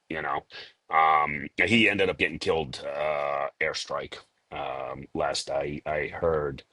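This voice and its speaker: Interview guest: man, forties, from Liberia, Boston accent, very low voice, dry close sound, halting with fillers. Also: sharp tone, no echo. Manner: halting, many fillers and restarts. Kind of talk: casual interview talk.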